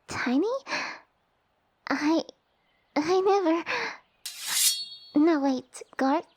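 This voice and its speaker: A woman voicing a small, haughty young snake-girl noble in an ASMR roleplay, speaking softly with pompous, formal condescension. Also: high voice, husky, dry recording. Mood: shocked, indignant. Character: small haughty young lamia noblewoman, sassy and easily offended. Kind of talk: ASMR audio roleplay acting. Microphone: close dry microphone.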